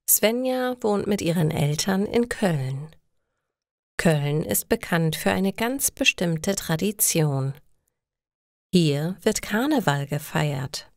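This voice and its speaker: A woman reading scripted stories slowly and carefully for language learners. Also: lowish voice, no room sound, studio quality. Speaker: storyteller for German learners.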